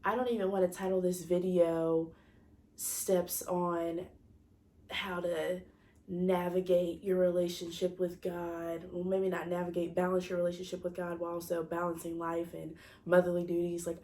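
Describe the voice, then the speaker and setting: A young woman in her twenties with a stuffy, congested voice from a cold, speaking low and mellow with a fairly flat tone. She talks in a loose, rambling way, often stopping to correct herself and hunt for the right word. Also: normal speed. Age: 20s. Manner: rambling, self-correcting, searching for words. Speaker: vlogger. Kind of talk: casual personal talk to camera.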